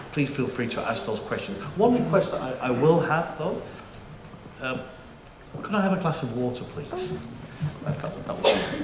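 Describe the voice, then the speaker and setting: A middle-aged man a bit of in his vowels chats easily to a conference crowd, joking and restarting his sentences as he goes. His voice sits at an ordinary pitch for a man. It rings through an echoey auditorium over a faint hum of room noise. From United Kingdom. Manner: chatty, jokey, frequent restarts and fillers. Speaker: panel moderator. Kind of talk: conference presentation.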